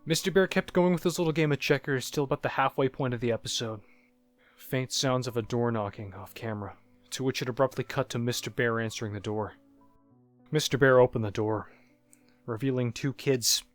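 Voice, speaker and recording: A man voicing a haunted narrator who looks back on disturbing childhood memories in a first-person horror story, halting and reflective, like a confession. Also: medium pitch, close to an everyday voice. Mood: uneasy, recalling. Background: music.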